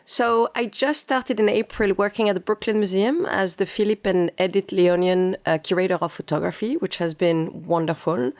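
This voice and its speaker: A woman's voice: medium pitch, fairly even intonation, moderate pace, hardly any pauses, a little mellow. A woman in her forties with a French accent, giving a conversational account of herself as an interview guest. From France and Japan. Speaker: podcast guest, photography curator.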